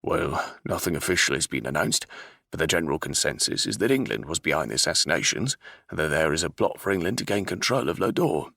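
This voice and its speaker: A man voicing a gruff, wary older ship captain who growls his words. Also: very deep voice, sing-song, quick pace, sharp tone, dry recording, clean studio sound, exaggerated voice.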